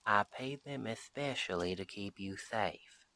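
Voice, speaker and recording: A man playing a friendly, protective Old West sheriff in a roleplay audio drama, talking to the listener in folksy, unhurried western phrasing. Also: fairly low pitch, sharp tone. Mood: fond, coaxing.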